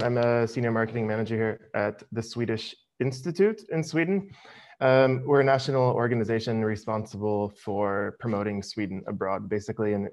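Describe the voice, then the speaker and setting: A middle-aged American man giving a casual webinar presentation over a video call, relaxed and full of ums. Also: on the low side. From United States. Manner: relaxed, many ums, friendly. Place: home office, video call. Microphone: headset.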